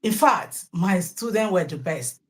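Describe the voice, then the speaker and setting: A woman's voice: on the low side, lively intonation, measured pace, a loud crowd. A middle-aged Nigerian woman, a native Yoruba speaker, talking at length as a teacher being interviewed, often repeating words and restarting. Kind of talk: interview answers.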